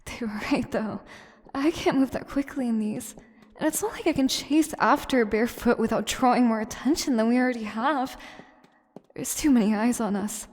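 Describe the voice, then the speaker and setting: A woman's voice: a little high, a little mellow, natural voice. A woman playing an obsessive, scheming yandere assistant in an audio roleplay, speaking in formal, theatrical phrases to the listener. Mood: frustrated, disbelieving. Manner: theatrical, formal phrasing, slow and deliberate, dropping into whispers. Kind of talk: scripted audio roleplay, intimate monologue to listener.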